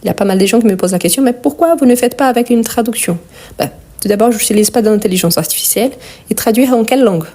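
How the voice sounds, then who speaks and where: Woman w/ brazilian accent teaching french grammar live, soft mellow voice, patient, spells stuff out. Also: a little high, rapid-fire. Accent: Brazilian Portuguese. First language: Portuguese. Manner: patient, repeats and spells out endings. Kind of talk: live online grammar lesson.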